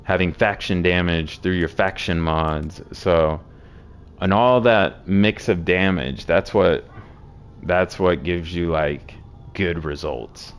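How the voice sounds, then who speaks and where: A hoarse man with a sore throat casually walking through game builds, rambling with many fillers. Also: low-pitched, slow pace. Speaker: game streamer explaining builds.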